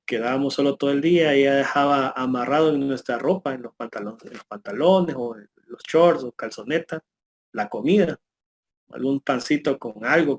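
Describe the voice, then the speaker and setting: A Salvadoran man in his late thirties gives a personal testimony. His voice sits at a middle pitch. He talks spontaneously and haltingly, repeating words and stopping partway through phrases. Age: late 30s. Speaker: guest speaker giving a testimony.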